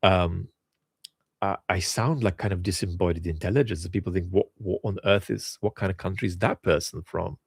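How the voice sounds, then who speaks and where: Host from Russia, deep gravelly tone, thinks aloud with fillers and restarts. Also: male voice, a little fast, no echo, clean studio sound. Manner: thoughtful, hesitant with many fillers and restarts. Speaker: host answering viewer questions. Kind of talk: casual Q&A monologue.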